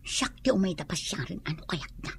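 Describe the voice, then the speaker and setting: An actress playing an evasive, sly nurse in a radio drama. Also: female voice, lowish voice, expressive, brisk, gravelly, husky, heavily acted. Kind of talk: Ilocano radio drama acting.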